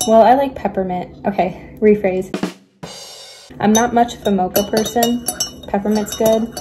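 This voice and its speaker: A young woman chatting casually in her home kitchen at night, giggling and often dropping to a hushed voice, recorded on a phone camera on the counter. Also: medium pitch, steady tone, moderate pace, over music. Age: young adult. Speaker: vlogger. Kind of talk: casual cooking vlog chat.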